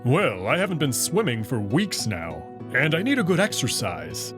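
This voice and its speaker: A man's voice: mid-range voice, wildly swooping pitch, normal speed, music in the background. A man voicing an eager, easygoing, slightly impatient male wolf in a visual novel, informal and breezy.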